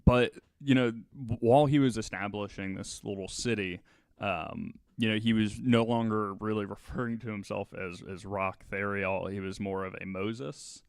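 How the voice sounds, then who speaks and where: A man with a fairly low, smooth voice, recorded dry and close. He tells a story casually as a podcast host, halting and hedging as he goes, in a loose conversational way. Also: steady tone, no room sound.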